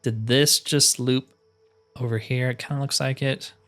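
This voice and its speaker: A man's voice: mid-range voice, fairly even intonation, unhurried, fairly bright tone. Male gaming host, mid-range voice, casual think-aloud commentary with self-deprecating humor, game music underneath. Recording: music behind the voice.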